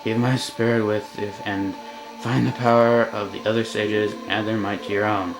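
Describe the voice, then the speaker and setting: A man's voice: lowish voice, little pitch movement, moderate pace, natural voice, rough recording. A man voicing a solemn old sage from a fantasy video game, speaking in portentous storytelling fashion over music.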